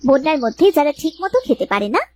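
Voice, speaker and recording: A woman's voice: sits high, animated, quick pace, no echo. A woman narrator reading scripted storytelling narration for a children's cartoon.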